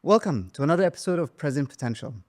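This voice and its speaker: A man's voice: a little high, animated, very fast, music in the background. A man hosting a podcast interview, thankful and self-deprecating as he introduces his guest. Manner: warm, grateful, self-deprecating. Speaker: podcast host.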